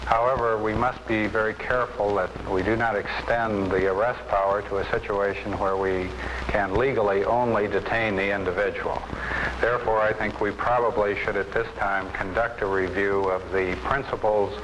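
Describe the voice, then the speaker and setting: An American man teaches in a formal, didactic way, choosing his words deliberately. His pitch is on the low side. Clear noise runs through the old film soundtrack. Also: steady tone. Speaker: classroom instructor. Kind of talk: classroom lecture.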